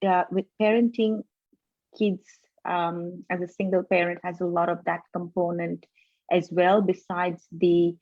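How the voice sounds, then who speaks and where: A woman's voice: fairly low pitch, flat delivery, unhurried, no echo, muffled recording. A woman in her forties hosting an interview, enthusiastic and chatty with many fillers. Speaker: host. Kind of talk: interview host chat.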